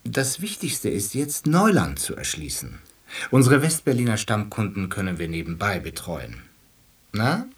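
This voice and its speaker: An actor playing a brisk, self-assured senior salesman who instructs a new colleague in a German radio play. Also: fairly low pitch, sing-song, distant mic. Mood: businesslike.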